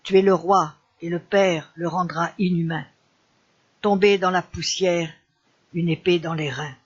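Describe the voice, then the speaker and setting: A mournful enchanter of legend, recited by a man, speaks in a high, slow voice. He is solemn and declamatory, haunted by war and grief. The sound is slightly dull, as in a simple recorded poem. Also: steady tone, breathy edge. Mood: mournful, anguished.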